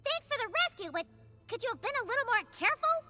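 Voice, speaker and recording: A woman voicing a tiny, excitable companion creature in a game, with a shrill, squeaky, exclaiming voice. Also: fast talker, heavily acted. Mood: flustered. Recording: loud game music behind.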